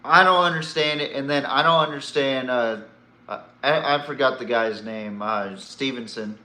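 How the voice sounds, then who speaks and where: A man's voice: mid-range voice, moderate pace, sharp tone, echoey room. A man hosting a sports podcast, giving casual, opinionated commentary in a blunt way, swearing and throwing out rhetorical questions.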